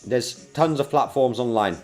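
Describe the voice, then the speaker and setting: A man's voice: mid-range voice, moderate pace, faint music behind. A man from Belgium with a mixed UK, New Zealand and American accent, hosting a casual livestream and chatting upbeat to viewers while working, tired after a night awake. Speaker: livestream host. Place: home desk setup.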